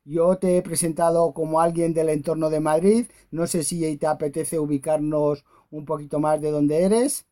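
A man's voice: fairly high pitch, level tone, normal speed, hardly any pauses, dark tone. A man hosting a radio show, interviewing a guest in a conversational, informal way.

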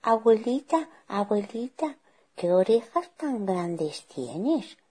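Casting: sweet trusting small girl for a fairy tale, raised pitch, sing-song. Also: big pitch swings, measured pace, warm tone, slightly rough, full character voice. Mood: puzzled, curious. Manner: childlike, sing-song, naive.

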